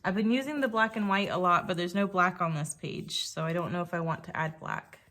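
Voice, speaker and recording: Slightly croaky woman thinking aloud. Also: fairly low pitch, brisk, fairly bright tone. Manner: chatty, thinking aloud while working. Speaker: scrapbooking creator. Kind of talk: casual crafting commentary.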